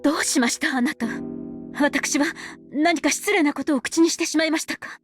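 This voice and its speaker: An actress playing a dutiful, dignified middle-aged wife in a visual novel, speaking formally and politely with composed resolve. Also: female voice, sits high, rapid-fire, close to an everyday voice. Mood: anxious, pleading. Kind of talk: Japanese visual novel voice acting. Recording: music behind the voice.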